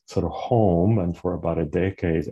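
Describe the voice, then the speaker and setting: Deep, husky-voiced man from Puerto Rico. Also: normal speed, warm tone, lo-fi sound. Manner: thoughtful, with frequent restarts. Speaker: guest, clinical social worker. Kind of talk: conversational interview.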